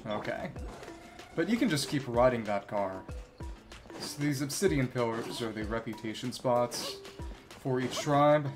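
A man's voice: mid-range voice, animated, measured pace, pauses often. Man rambling through casual game commentary. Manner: rambling, thinking aloud. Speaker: video game streamer. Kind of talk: casual live gameplay commentary. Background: video game music.

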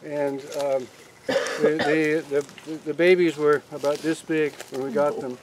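A man's voice: on the high side, little pitch movement, measured pace, very noisy. Older man rambling on an outdoor farm tour. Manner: rambling, frequent restarts and fillers. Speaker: farm owner giving a tour. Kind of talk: casual outdoor tour talk. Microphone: camera among a standing group.

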